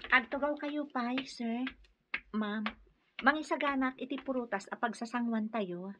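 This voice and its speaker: An actress playing a dutiful, gentle young farm daughter in a radio drama, polite, with a husky voice. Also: female voice, fairly high pitch, normal speed, noisy background, natural voice. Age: young adult. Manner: polite, respectful.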